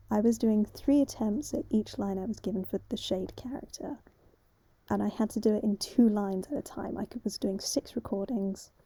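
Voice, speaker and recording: Woman, soft dark tone, husky, casual unscripted talk, slightly hesitant. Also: medium pitch. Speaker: amateur voice actor. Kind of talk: unscripted casual storytelling to viewers.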